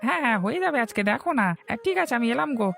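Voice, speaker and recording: A woman's voice: sits high, expressive, racing, vocal fry, fairly bright tone, soft background music, full character voice. A woman voicing a friendly, obliging younger male fish seller in a cartoon story, chummy and reassuring. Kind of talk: Bengali cartoon story dialogue.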